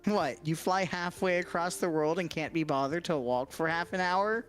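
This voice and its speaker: A woman's voice: fairly low pitch, moderate pace, close to an everyday voice. A woman voicing a brash, teasing sidekick character in a visual novel, over music.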